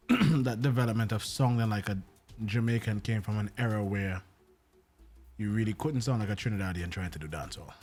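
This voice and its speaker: A man's voice: on the low side, a little fast, darkish tone. A man co-hosting a casual chat, tossing in short, opinionated remarks. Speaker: co-host.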